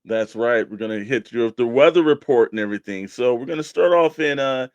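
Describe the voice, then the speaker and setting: A man's voice: mid-range voice, fairly quick, no room sound, highs cut off. Male American co-host, casual chatty banter, mid-range voice, dry close recording. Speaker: co-host of a toy collecting show. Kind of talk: casual banter, sponsor reads and mock weather report.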